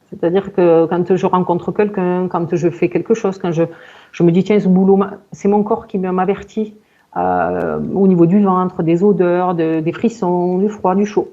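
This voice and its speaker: A woman in her forties giving a halting personal account in conversation, searching for words and often repeating herself. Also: on the low side, steady tone, dark tone, lo-fi sound. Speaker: client in a hypnosis session interview.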